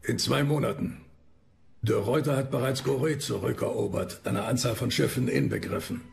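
A terse naval informant in a historical audio drama. His mid-pitched voice is heavily creaky and gravelly as he reports. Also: moderate pace, darkish tone. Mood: matter-of-fact. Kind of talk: historical docudrama radio play acting.

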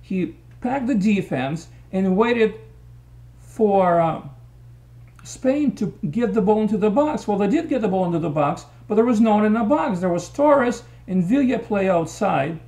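A man's voice: sits high, expressive, measured pace, dark tone. A middle-aged man giving an opinionated post-match soccer analysis, talking to a webcam in a small room. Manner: opinionated, gestures while explaining tactics. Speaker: soccer commentator. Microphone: webcam at desk.

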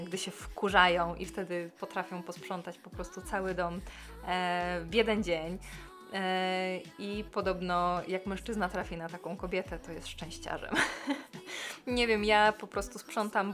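Brief: relaxed woman in her 30s, chatty cleaning voice-over, soft music underneath. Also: on the low side. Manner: chatty, relaxed, many fillers. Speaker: cleaning vlogger. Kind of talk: casual voice-over chat.

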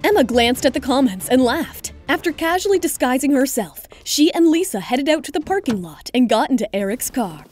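A woman narrates a scripted audio drama. Her voice is quite high yet mellow and rounded, with light music beneath the storytelling. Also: animated, fairly quick, hardly any pauses.

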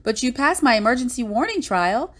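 A woman's voice: on the high side, sing-song, brisk, fairly bright tone, pitched above the performer's own voice. A woman voicing a boastful young boy dinosaur trickster in a storybook, with theatrical, exclaiming delivery. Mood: cocky, teasing.

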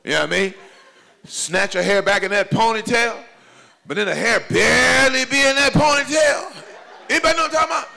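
A man in his forties from doing stand-up comedy at a church celebration, swinging between loud high exclamations and low confiding asides. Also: a little fast, creaky. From Chicago, USA. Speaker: comedian pastor. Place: banquet hall at a church event. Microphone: handheld microphone. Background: audience laughter.

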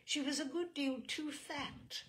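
A woman reading a literary short story aloud as a storyteller, with expressive, measured phrasing. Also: high voice. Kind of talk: literary audiobook narration.

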